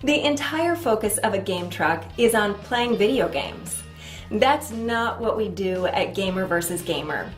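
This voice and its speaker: A roomy, echoing recording of a woman with a medium-pitched voice, music playing softly underneath. She reads scripted promotional narration for an advert. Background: background music.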